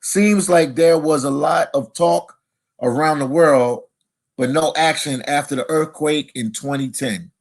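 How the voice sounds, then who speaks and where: A man's voice: fairly high pitch, unhurried. Chatty man hosting a live stream. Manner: chatty, reads viewer comments aloud. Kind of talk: casual live-stream interview.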